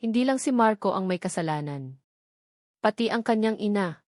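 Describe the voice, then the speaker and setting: A woman's voice: mid-range voice, expressive, normal speed, darkish tone, no echo, natural voice. Woman narrator as young single mom, scared but standing firm, proud, dry recording, romance story. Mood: hurt, angry. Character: frightened but defiant young single mother, deferential yet proud.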